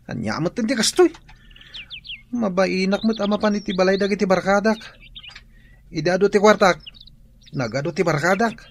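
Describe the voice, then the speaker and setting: A young actor playing a spoiled, lazy, whining son in a radio drama. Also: male voice, high voice, lively intonation, normal speed, long pauses, slight background noise. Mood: whiny, defensive.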